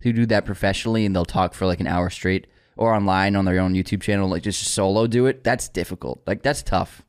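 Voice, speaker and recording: A man's voice: deep voice, brisk, clean tone. A man co-hosting a movie news podcast, talking casually in long rambling turns. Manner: rambling, full of restarts and references. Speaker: podcast co-host.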